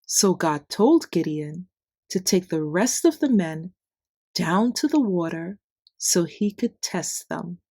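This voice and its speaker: A woman reading a children's bedtime story in simple, deliberate phrases with expressive emphasis. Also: fairly low pitch, husky, no room sound, studio quality. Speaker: children's Bible story narrator. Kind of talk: scripted read-aloud bedtime story narration.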